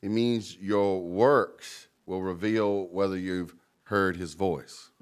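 A man's voice: low voice, fairly even intonation, slow, clean tone. A man preaching a sermon with emphasis, calling out to the congregation and joking about his own mumbling. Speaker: pastor.

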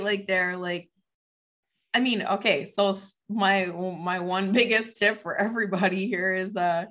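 Hoarse woman with a cold. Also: mid-range voice, a bit bright. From Canadian prairies. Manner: chatty, laughs often, many likes. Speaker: podcast co-host, farm mom. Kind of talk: casual chat.

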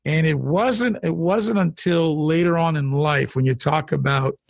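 A middle-aged pastor speaks with a husky, gravelly voice that sits a little high for a man. He tells his testimony earnestly and conversationally, circling back and repeating words as he finds them. The sound is dry and close. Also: unhurried, dry recording. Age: 40s or older. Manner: earnest, storytelling, frequent restarts and repeated words. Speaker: pastor and guest. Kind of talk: personal testimony in a conversational interview.

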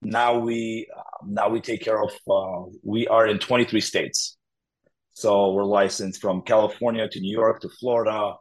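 A man in his thirties with a slightly low voice, chatting easily as a podcast guest. He laughs readily and leans on fillers as he explains his work. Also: fairly even intonation, moderate pace, rough recording. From Poland. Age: 30s. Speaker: guest, public adjuster. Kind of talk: casual interview talk.

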